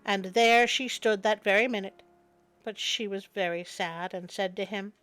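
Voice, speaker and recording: A woman's voice: mid-range voice, steady tone, normal speed, fairly bright tone, faint music behind. A woman storyteller narrating a folktale expressively, with wry spoken asides. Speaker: storyteller, host.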